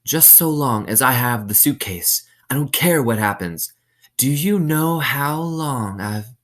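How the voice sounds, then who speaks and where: A man voicing a cold, greedy woman warden in a storybook, unhurried and menacing. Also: medium pitch, lively intonation, pitched above the performer's own voice, natural voice. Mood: defiant, obsessed.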